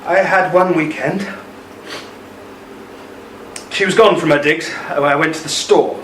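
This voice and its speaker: A man's voice: on the high side, slow, a bit bright. A man playing an older ex-army husband in a radio play, regretful and haunted by a lost love, speaking with hesitant emotion. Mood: haunted, bitter. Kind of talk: amateur radio play acting. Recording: roomy, distant mic, faint noise.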